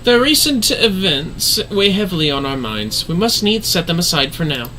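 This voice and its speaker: A man's voice: sits high, very animated, normal speed, pushed and bright, slight background noise, exaggerated voice, rough recording. A man voicing a refined, earnest woman leader in a fantasy game, speaking in formal, solemn, archaic phrasing. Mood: solemn, stirring.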